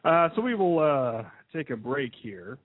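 A man's voice: medium pitch, sing-song, measured pace, no room sound. A man in his thirties hosting a podcast, laid-back and joking, with plenty of fillers. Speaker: podcast host, doctor. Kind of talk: casual radio-style podcast hosting. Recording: thin, phone-like sound.

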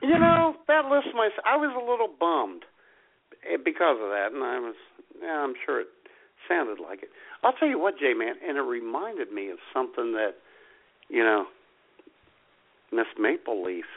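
A man's voice: medium pitch, sing-song, normal speed, pauses often. A man co-hosting a live radio show, bantering casually. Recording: slightly dull lo-fi internet radio.

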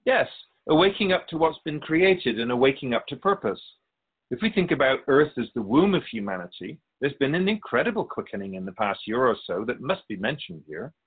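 A man playing a wise, wry otherworldly spirit guide in a radio drama interview, measured and philosophical. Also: mid-range voice, big pitch swings, slightly rough, narrow, tinny audio. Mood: grave. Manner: measured, philosophical, gently admonishing.

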